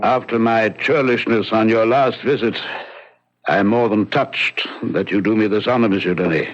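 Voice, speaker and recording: A man's voice: fairly low pitch, moderate pace, soft background music, lightly acted. An actor playing a proud, powerful English nobleman in an old radio drama, formal and clipped, brusque and haunted, with an English accent. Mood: grimly composed. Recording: dull, lo-fi vintage radio drama.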